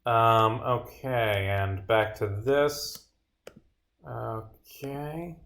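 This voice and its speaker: Male game developer on stream, mid-pitched, casual, reads script lines aloud with muttered asides. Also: drawn-out words, a bit bright. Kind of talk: casual livestream playthrough, reading game dialogue aloud.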